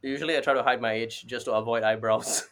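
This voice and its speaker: A man's voice: medium pitch, fairly even intonation, fast, fairly bright tone. A man, answering casually as an interview guest and often saying "you know". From Singapore. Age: 20s. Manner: informal, repeats "you know". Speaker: interview guest, startup advisor.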